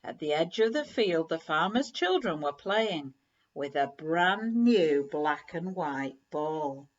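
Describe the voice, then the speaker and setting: A woman reading a children's story aloud in an animated, measured storytelling manner. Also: deep voice. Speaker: story reader. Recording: slightly dull, lo-fi recording.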